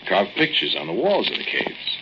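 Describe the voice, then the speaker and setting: A relaxed, musing fellow, lowish and lively, dull old radio sound. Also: male voice, normal speed, background noise. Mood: casual. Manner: casual, musing. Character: easygoing man pondering ancient cavemen. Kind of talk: 1940s radio acting.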